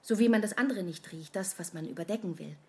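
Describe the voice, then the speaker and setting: A woman's voice: lowish voice, fast, a little mellow, lightly acted. An actress playing an anxious, self-conscious woman who rambles and questions herself in a conversational radio play. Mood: self-doubting. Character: anxious, self-conscious woman fretting over how she smells. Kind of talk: modern radio play acting, conversational monologue.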